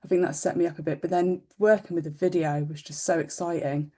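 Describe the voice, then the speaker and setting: A woman chats informally as a podcast co-host. Her voice is very mellow and sits a little low. She comes across as modest and agreeable, talking in plain everyday phrases. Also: steady tone, a little fast. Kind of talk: casual chat.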